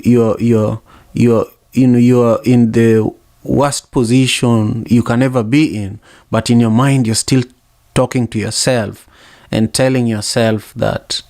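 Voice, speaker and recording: An effusive man hosting a live audio room, from Kenya, with a voice of middling pitch. He often repeats words as he hunts for the next thought. The sound is close and dry, with no room in it. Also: a little mellow, no echo. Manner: effusive, repeats himself while searching for words. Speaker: host. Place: home setup, live audio app.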